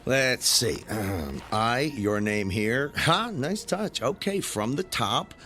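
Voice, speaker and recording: Radio drama skeptic: flippant, exasperated everyman, highly expressive range, dry sarcasm. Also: takes it slowly, a little hiss or hum. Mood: mocking, defiant. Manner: wry, sardonic delivery. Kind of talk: modern radio drama acting.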